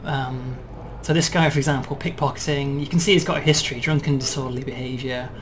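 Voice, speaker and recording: A man demonstrating and explaining a game build in a relaxed way, checking in with "right?" as he goes. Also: mid-range voice, fast talker, background noise. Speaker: game developer demoing.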